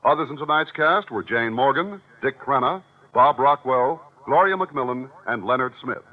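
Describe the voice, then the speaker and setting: A man's voice: mid-range voice, animated, moderate pace. A man announcing closing credits in the formal style of a 1950s radio show. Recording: tinny, noisy old radio, loud audience. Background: loud studio audience.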